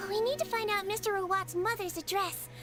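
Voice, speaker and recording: A woman voicing a tiny, chirpy female companion creature in a game, with a very high squeaky voice and a nosy manner. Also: brisk. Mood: scheming. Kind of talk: game voice acting. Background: game music.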